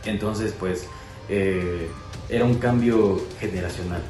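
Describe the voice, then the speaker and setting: A man's voice: low voice, slow, mellow. A man explaining history as co-host in a casual educational chat, with many fillers and restarts. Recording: echoey room with music.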